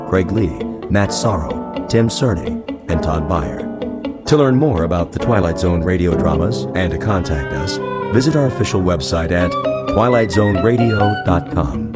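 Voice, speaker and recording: A man reading scripted credits as a radio announcer. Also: deep voice.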